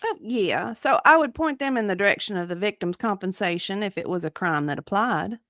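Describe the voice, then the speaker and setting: A woman's voice: medium pitch, expressive, a little fast, fairly bright tone, no room sound, studio quality, lightly acted. A woman voicing an evasive, matter-of-fact victim advocate giving clipped, hedging answers in a comedic deposition reading.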